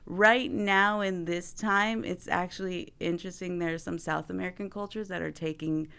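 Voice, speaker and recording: Gravelly low-voiced woman therapist host. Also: fairly even intonation, normal speed, a bit bright. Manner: chatty, heavy use of "like", laughs often. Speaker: host, marriage and family therapist. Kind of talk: casual podcast conversation.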